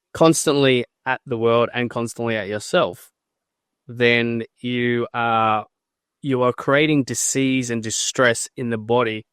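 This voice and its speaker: A man's voice: mid-range voice, a bit bright, clear, resonant tone, no room sound, clean studio sound. A man hosting a podcast interview, talking in a friendly, earnest way with a few hesitant restarts. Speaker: podcast host.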